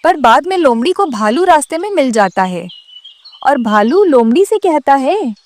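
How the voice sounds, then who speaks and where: A woman's voice: sits high, big pitch swings, moderate pace. A woman narrating a scripted children's cartoon story.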